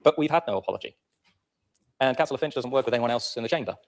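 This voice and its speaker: A man's voice: mid-range voice, lively intonation, rapid-fire. A man speaking as a local councillor in a chamber debate, earnest and repeating words for emphasis.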